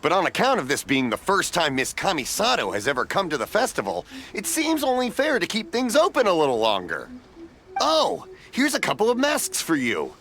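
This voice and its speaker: Hearty game stallkeeper, middle-aged, folksy, bright forceful voice, very animated pitch. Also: male voice, moderate pace, full character voice. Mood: generous, jovial. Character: friendly, generous older festival stallkeeper, man. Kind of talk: video game voice acting.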